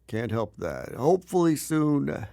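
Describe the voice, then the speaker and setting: A man's voice: mid-range voice, sing-song, moderate pace, gravelly, mellow, no echo, studio quality. An actor playing a busy, affectionate father and husband who hedges and hesitates in a period audio drama. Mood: evasive.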